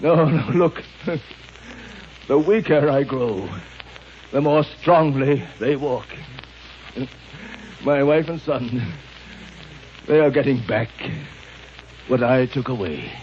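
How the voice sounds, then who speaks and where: An actor playing a desperate, guilt-stricken dying tyrant in a theatrical, halting 1930s radio melodrama style. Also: male voice, medium pitch, expressive. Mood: weak, dying. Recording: noisy muffled 1930s radio recording.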